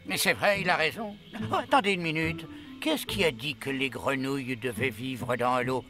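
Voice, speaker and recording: An actor voicing an inventive, scholarly little creature in a cartoon, musing and eager. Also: male voice, mid-range voice, animated, moderate pace, over music, lightly acted. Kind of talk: French cartoon dubbing.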